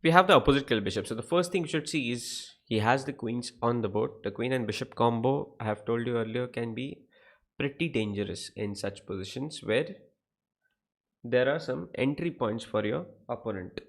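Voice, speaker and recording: A man's voice: mid-range voice, normal speed, gravelly. A young man with an Indian English accent teaching chess on a livestream, explaining positions, asking viewers questions and greeting the chat by name. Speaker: chess coach. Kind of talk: live-streamed chess lesson.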